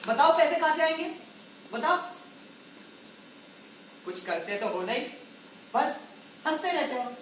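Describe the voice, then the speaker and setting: Woman acting a bossy troupe leader, exasperated, sarcastic, high voice, slow drawn-out scolding, stage play, echoey hall. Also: big pitch swings, a little hiss or hum. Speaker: stage actor. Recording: very echoey hall, distant mic, faint music.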